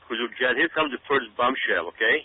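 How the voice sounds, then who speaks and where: A man's voice: medium pitch, expressive, a little fast, breathy edge, no echo. A man giving unscripted talk-show commentary in an urgent, emphatic way, often stopping to check that listeners follow. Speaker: guest commentator. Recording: narrow, tinny phone-like radio sound.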